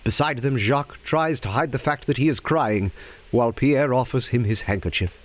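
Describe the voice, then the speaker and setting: A man's voice: mid-range voice, normal speed, dull audio, natural voice. A man playing a theatrical narrator in an audio drama, telling the story with suspense. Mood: suspenseful.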